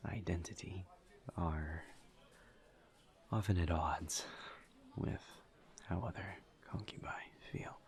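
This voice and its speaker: A man playing a gentle, guarded incubus in an intimate audio roleplay, murmuring breathily and haltingly with careful pauses. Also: heavily acted. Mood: guarded, confiding. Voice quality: breathy, creaky, low murmur. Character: gentle, guarded male incubus demon, earnest and reserved. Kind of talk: intimate roleplay audio drama, partly whispered.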